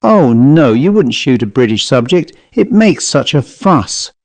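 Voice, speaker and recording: A man's voice: medium pitch, very animated, measured pace, dry recording. A man voicing a self-possessed Cockney manservant with a controlled, wistfully reproachful manner in an audiobook story. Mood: insistent.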